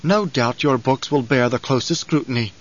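A man's voice: mid-range voice, normal speed, close to an everyday voice. A male reader acts out a stern aristocratic envoy from an audiobook. He speaks in a formal, pointed way, probing and insinuating as he presses his listener. The sound is slightly dull. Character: stern, probing male count, imperial envoy, veiled threats.

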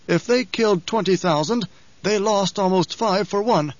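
A man doing a calm, probing old spymaster. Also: moderate pace, no room sound, close to an everyday voice. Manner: mild, precise, higher voice. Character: shrewd older male spymaster, calm, probing. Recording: dull, dry recording.

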